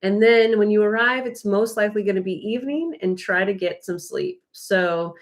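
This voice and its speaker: A woman's voice: medium pitch, normal speed. An American woman co-hosting a live travel stream, chatting casually and explaining things in a rambling, chatty way. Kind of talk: casual chat, giving travel tips.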